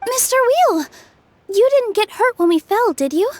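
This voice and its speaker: A woman voicing a caring young magical queen in a video game, gentle and concerned. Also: very high-pitched, animated, measured pace, no room sound. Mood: worried. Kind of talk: animated video game character acting.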